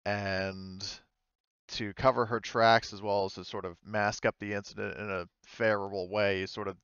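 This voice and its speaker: A man acting as game master, narrating a tabletop roleplaying game in a halting, conversational way. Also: lowish voice, flat delivery, a bit bright, clear, resonant tone, no room sound, muffled recording.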